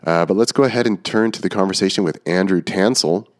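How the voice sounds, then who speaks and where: A man hosting a podcast, congested from a cold, talking in a relaxed, rambling way with fillers. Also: sits low, fast, no echo, clean studio sound. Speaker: podcast host. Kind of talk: casual podcast talk.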